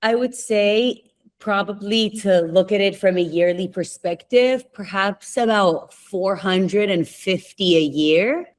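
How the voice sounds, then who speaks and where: A woman's voice: medium pitch, takes it slowly. A young adult woman, raised in Spain, chatting openly as a podcast guest and laughing often. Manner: chatty, laughs often, asks herself questions. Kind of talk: casual interview answers.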